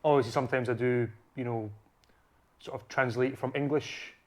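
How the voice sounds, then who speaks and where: A man's voice: mid-range voice, steady tone, moderate pace. A man from Bulgaria with a Scottish accent and Bulgarian as his first language, talking casually and a little hesitantly as a podcast guest. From Bulgaria, later Scotland. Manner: hesitant, repeats "obviously" and "you know". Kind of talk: casual chat.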